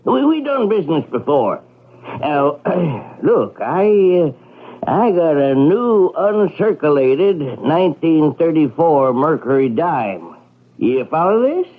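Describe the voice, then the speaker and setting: A man's voice: a little high, very animated, takes it slowly, heavily acted. An actor playing a grubby, greedy old beggar in a radio drama, whining, muttering and stammering as he goes. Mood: excited, cagey. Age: older. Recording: muffled vintage radio broadcast.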